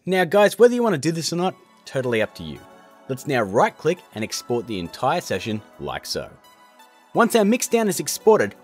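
Lively, informal male tutorial host. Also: medium pitch. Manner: chatty, informal, jokey. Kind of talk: casual software tutorial narration.

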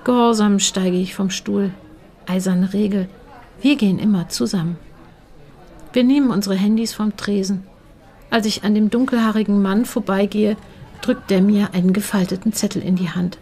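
A woman narrates a short story in the first person. Her voice sits at a medium pitch and follows a written script, as in an audio story. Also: steady tone, moderate pace, dark tone.

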